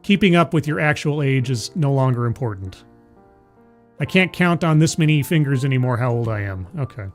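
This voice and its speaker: A man's voice: medium pitch, expressive, brisk, soft background music. A man in his forties hosting a livestream, chatting casually with viewers and laughing at himself. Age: 40s. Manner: rambling, self-deprecating, laughs often. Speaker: livestream host. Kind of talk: casual chat with live chat.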